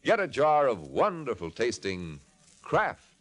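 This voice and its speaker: A man's voice: mid-range voice, huge pitch swings, unhurried, fairly bright tone. A man announcing and narrating in polished, emphatic 1950s radio style from a script.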